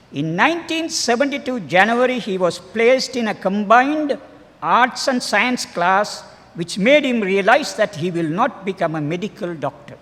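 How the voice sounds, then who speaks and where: An older man with a Sri Lankan accent reading a formal tribute as a clergyman, measured and a little halting. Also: sits high, a bit bright. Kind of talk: formal read-aloud biographical tribute. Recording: long hall reverb.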